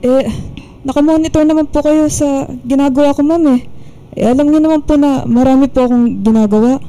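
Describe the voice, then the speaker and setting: A woman's voice: sits high, fairly even intonation, fairly quick, dark tone, lightly acted, rough recording. An actress playing a polite, beleaguered young female employee who pleads hesitantly but stands up for herself in a radio drama. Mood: timid, defensive. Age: young adult.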